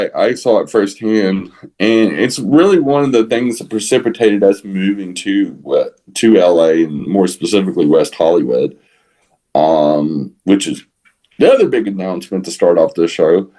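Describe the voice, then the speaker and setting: Man, Texas accent, deep voice, podcast co-host, casual opinionated talk, halting, repetitive phrasing. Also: animated, warm tone, poor audio. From San Antonio, Texas. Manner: halting, repeating words and rephrasing.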